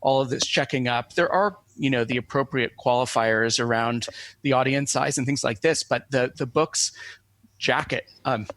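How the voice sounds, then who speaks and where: A man's voice: mid-range voice, normal speed, fairly bright tone, no room sound. A man speaking as an academic interview guest, explaining things in a scholarly, discursive way with frequent self-corrections.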